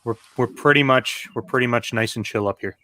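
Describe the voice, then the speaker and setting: A man from Canada co-hosting a casual live stream, relaxed and joking, often laughing. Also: mid-range voice, fairly quick, no room sound. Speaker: co-host. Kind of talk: casual livestream chat.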